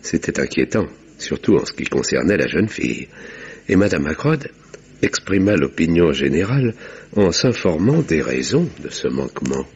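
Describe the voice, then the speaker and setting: An actor playing a sombre village doctor who narrates a radio murder mystery in a measured, formal, literary way, with a gravelly, husky voice on a dull vintage recording. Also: male voice, very deep voice, very animated, a little mellow, heavily acted. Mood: grave, suspenseful. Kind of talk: literary narration in 1950s-style radio drama.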